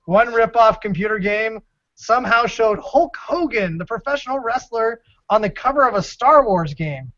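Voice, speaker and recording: A man with a clearly high-pitched American voice, bantering playfully as a co-host. The sound is close and dry, with the top slightly rolled off. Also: lively intonation, normal speed, fairly bright tone, no room sound. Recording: dull, dry band-limited sound.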